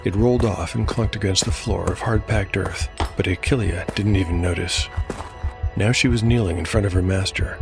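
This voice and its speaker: A man narrating a scripted dark fantasy audio drama in a measured, dramatic storytelling manner. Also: low voice. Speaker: narrator and host. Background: music.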